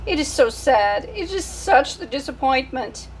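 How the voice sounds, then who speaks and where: A vain mother mouse with a French accent, as a woman reader puts her on, pitched high and swooping with dramatic self-pity. She sighs over everything in a theatrical storybook manner. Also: big pitch swings, a little slow, slight background noise, low-quality recording. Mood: mournful. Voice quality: theatrical, sighing, self-pitying.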